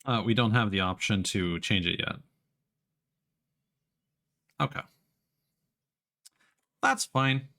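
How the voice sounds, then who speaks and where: A man's voice: on the low side, slow, pauses often, pushed and bright. A man doing casual live commentary as he streams a video game. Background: game music.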